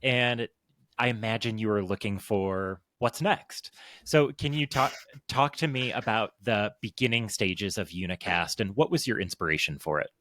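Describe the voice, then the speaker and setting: A man's voice: lowish voice, normal speed, fairly bright tone. A man hosting a podcast, opening with an upbeat scripted introduction and then asking interview questions with emphatic delivery. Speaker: podcast host.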